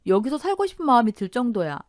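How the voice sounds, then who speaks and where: A woman's voice: a little high, very animated, fast, no echo, studio quality, voice pushed up. A woman playing a chatty, earnest young married daughter in radio story dialogue. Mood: excited, hopeful.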